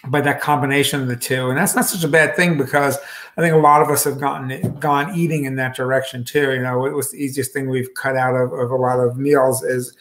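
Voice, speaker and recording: A man in his fifties talks a wine club through a bottle over a video call. He speaks casually from his home kitchen, stopping and restarting often and filling gaps with ums. His voice sits at an ordinary pitch for a man. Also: fairly even intonation, fairly bright tone. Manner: halting, many fillers and restarts, gestures with hands. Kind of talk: casual wine tasting talk. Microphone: video call webcam.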